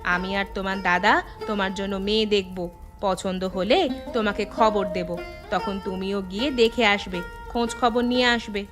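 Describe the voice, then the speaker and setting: A woman's voice: medium pitch, fairly even intonation, normal speed, natural voice. A woman voicing a caring but bossy married village sister-in-law in a cartoon fairy tale, familiar and insistent. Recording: music clearly under the voice.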